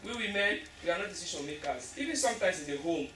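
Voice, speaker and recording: A woman's voice: on the low side, lively intonation, fast, a bit bright. A woman from Africa speaking emphatically as a panelist after a stage play, often restarting her phrases. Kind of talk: earnest audience discussion. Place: school hall. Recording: echoey hall from far off, noisy.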